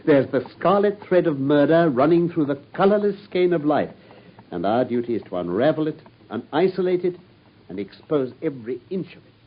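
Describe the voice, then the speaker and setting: An actor playing a sharp, brilliant male detective who talks in a brisk, commanding way, in a BBC radio drama. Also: a little high, a little hiss or hum, natural voice. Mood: animated. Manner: brisk, incisive, commanding, lively intonation. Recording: dull lo-fi old radio broadcast.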